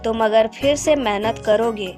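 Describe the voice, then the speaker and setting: Hopeful village wife in a cartoon fable female actor, persuasive, rather high voice over light music. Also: moderate pace, close to an everyday voice. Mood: hopeful, encouraging. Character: hopeful, encouraging poor farmer's wife, adult village woman. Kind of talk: moral-story cartoon dubbing. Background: background music.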